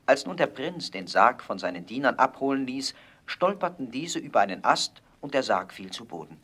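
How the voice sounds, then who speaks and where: A man narrates a fairy tale in a scripted storybook audio play. His voice sits at an ordinary middle pitch, and he tells the story at a calm, measured pace. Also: breathy edge.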